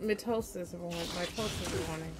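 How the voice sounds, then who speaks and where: A woman's voice: lowish voice, moderate pace, noisy background. A woman streamer giving casual, playful let's play commentary, reacting aloud and repeating phrases. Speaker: gaming streamer.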